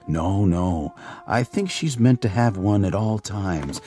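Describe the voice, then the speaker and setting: A man's voice: low voice, lively intonation, slow, mellow, faint music behind. An actor playing a nervous, officious alien escort to a king, prim and formal in a radio drama.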